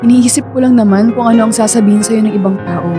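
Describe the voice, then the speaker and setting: A woman's voice: fairly high pitch, steady tone, fast, music in the background, natural voice. An actress playing a shy, self-doubting young woman, hesitant, in a Filipino radio drama. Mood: doubtful, worried. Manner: hesitant, insecure.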